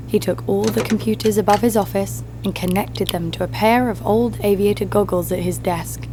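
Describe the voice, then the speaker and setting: A woman's voice: medium pitch, a little mellow, a little hiss or hum, close to an everyday voice. A woman playing a tough, sardonic young bounty hunter who narrates an audio drama in a wry, world-weary way. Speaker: narrator and lead.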